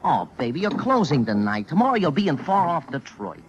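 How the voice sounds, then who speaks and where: A man's voice: on the high side, big pitch swings, fairly quick. An actor playing a leering, pushy young theater call boy with slangy wise-guy talk in an old-time radio drama. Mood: leering, cocky. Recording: muffled, noisy vintage radio broadcast.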